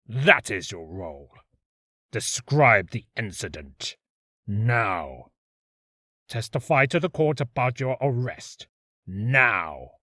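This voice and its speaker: A man's voice: mid-range voice, a bit bright, no room sound, studio quality, voice pushed down, exaggerated voice. A man playing a menacing, imperious video game prosecutor with a commanding, contemptuous manner. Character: menacing, imperious prosecutor demanding guilty verdicts, vengeful. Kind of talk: video game character acting.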